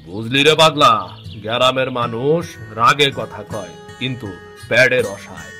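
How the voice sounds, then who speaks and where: Man playing a bright-voiced, teasing village uncle. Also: mid-range voice, a little slow, soft background music. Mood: knowing advice. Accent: eastern Bengali (Bangladeshi). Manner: teasing, knowing, folksy. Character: shrewd, teasing village uncle, older advisor. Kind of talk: dramatic cartoon voice acting.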